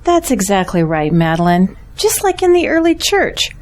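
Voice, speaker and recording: An actress playing a gentle, devout and hospitable churchwoman with a sincere manner in an audio drama. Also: medium pitch, big pitch swings, normal speed, lightly acted. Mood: kind.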